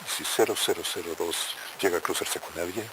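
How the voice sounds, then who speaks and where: A man's voice: sits low, fairly quick, close to an everyday voice. A man playing a stern, secretive director who gives curt orders in an analog horror drama. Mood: stern, urgent. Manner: curt, commanding. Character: stern secretive male director of a shady organization. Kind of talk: analog horror dialogue acting. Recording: clearly noisy recording.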